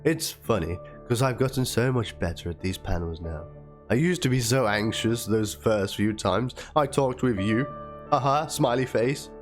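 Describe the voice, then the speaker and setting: A man's voice: medium pitch, animated, normal speed. A man reading a written document and chat messages aloud in a theatrical manner, as a dramatic narration. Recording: music under the voice. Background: instrumental background music.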